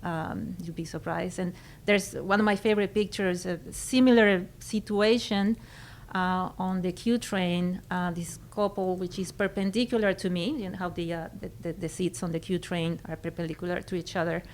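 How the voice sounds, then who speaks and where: A middle-aged woman from Venezuela with a Venezuelan Spanish accent, talking as a guest in a conversational interview and pausing to search for her words. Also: fairly low pitch, steady tone, a little hiss or hum. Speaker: guest photographer.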